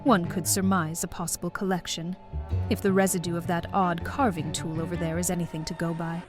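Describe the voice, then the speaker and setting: A woman playing a deadpan, oblivious, quirky police detective in a comedic audio drama, speaking matter-of-factly. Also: fairly low pitch, brisk, music in the background, natural voice. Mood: clinical, matter-of-fact.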